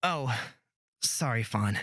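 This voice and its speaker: Earnest, apologetic young male hero for a fantasy visual novel, acted by a man, animated delivery. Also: mid-range voice, slow pace, dry recording, clean studio sound. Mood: exasperated.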